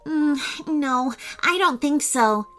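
A woman voicing a kind, curious mermaid in a children's story, gentle and encouraging. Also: high voice, animated, slow pace, soft background music, pitched above the performer's own voice, full character voice. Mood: concerned. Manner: bright, gentle, inquisitive.

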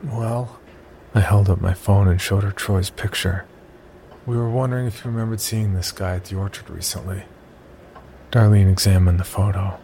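A man playing the uneasy, cautious narrator of a scripted horror story, telling it in a gravelly voice. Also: sits low, fairly even intonation, moderate pace, mellow, slight background noise. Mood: hushed, cautious. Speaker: first-person narrator and lead character.

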